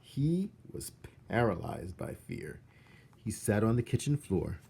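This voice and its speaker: A man's voice: fairly low pitch, very animated, measured pace, vocal fry, warm tone, slightly rough. A man reading a children's book aloud as narrator, expressively, with dramatic emphasis on key words.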